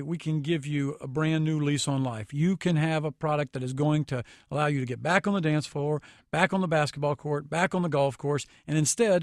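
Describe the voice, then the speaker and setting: A man's voice: on the high side, dry recording, clean studio sound. An American man giving critical, explanatory commentary as a guest on a radio talk show.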